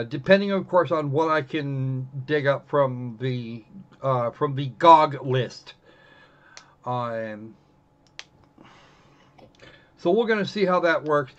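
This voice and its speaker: A man's voice: medium pitch, a bit bright. A man hosting a live game stream in casual chat, rambling and often correcting himself mid-sentence. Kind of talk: casual livestream chat while gaming. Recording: dull, lo-fi voice recording.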